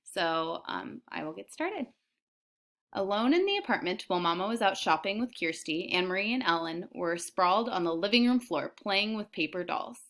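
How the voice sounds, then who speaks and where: A woman teacher reads aloud to children in a mid-pitched voice. Her tone is bright and forward, in the manner of classroom story narration. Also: animated, moderate pace. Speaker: elementary teacher reading aloud.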